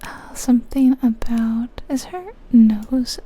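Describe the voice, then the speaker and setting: A woman whispering softly in an ASMR style, musing aloud over a game in an unhurried way, close to the microphone. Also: on the high side, expressive. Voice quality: soft, breathy whisper. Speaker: ASMR video creator.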